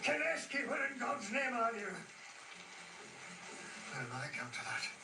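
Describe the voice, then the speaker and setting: A haunted, God-fearing ship's captain in a radio drama, imperious and fervent. He pitches his voice high in declamation. The recording is distant, echoey and noisy. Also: wildly swooping pitch, measured pace, heavily acted. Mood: frantic, angry.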